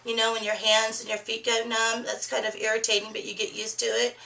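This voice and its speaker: A middle-aged woman talks openly to her webcam, her voice hoarse from a cold and gravelly with fry. Her tone is bright and a little high. The small room adds a roomy echo, and the mic sits a bit away from her. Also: level tone, brisk, distant mic. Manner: chatty, candid, laughs easily. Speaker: vlogger. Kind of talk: casual personal vlog. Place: small room with a plain green wall.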